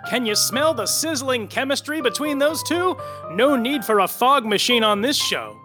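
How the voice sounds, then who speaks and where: A performer playing a theatrical, gossipy narrator of a comedy show, using a put-on announcer voice. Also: female voice, on the high side, lively intonation, normal speed, over music, natural voice. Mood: teasing.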